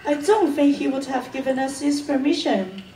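A woman's voice: high voice, normal speed, mellow, slight background noise. A teenage girl acting a sensible, reassuring schoolgirl explorer in a radio drama. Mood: steady, concerned. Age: teens. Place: stage of a studio theatre. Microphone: far camera. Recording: echoey theatre, distant microphone.

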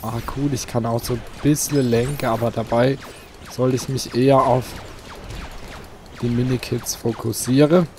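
A young man gives easygoing, chatty commentary while he plays a game. His voice is mellow and dark in tone. Faint noise sits behind him. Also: medium pitch, fairly even intonation, moderate pace. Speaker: let's player. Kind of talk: casual gameplay commentary.

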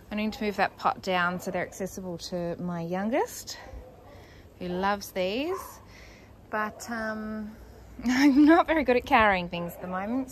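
A relaxed Australian woman in her thirties gives a chatty tour of her garden. Her voice sits at an ordinary pitch for a woman, and she speaks casually with fillers and small laughs. She is filming outdoors on a handheld phone, with faint outdoor noise behind her. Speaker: gardening vlogger. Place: backyard vegetable garden, outdoors.

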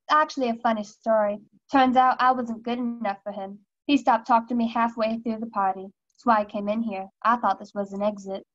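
Plucky, rueful American woman played by a young actress, slightly high voice, dry muffled radio-play recording. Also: steady tone, moderate pace, no echo, natural voice.

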